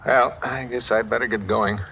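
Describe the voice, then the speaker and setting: An actor playing a tough, bewildered fugitive in an old-time radio drama, with an American accent and a clipped, colloquial delivery. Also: male voice, mid-range voice, steady tone, normal speed, gravelly, lightly acted. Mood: grateful, uneasy. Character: tough, bewildered American man on the run, falsely accused. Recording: dull, noisy vintage radio recording.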